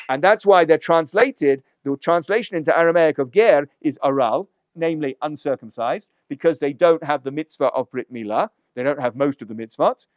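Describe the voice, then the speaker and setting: Man, rabbi giving a text lesson, slightly high voice, dry close sound, explanatory, asks and answers his own questions. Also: no room sound. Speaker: rabbi teaching a Torah class. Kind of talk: lecture-style text study.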